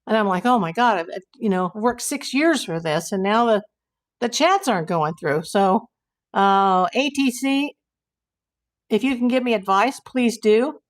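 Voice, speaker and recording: Hoarse woman rambling on a livestream. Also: mid-range voice, lively intonation, a little slow. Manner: rambling, exasperated, talks to chat viewers. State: hoarse, losing her voice. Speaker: YouTube livestream host. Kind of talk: casual livestream chat reading comments.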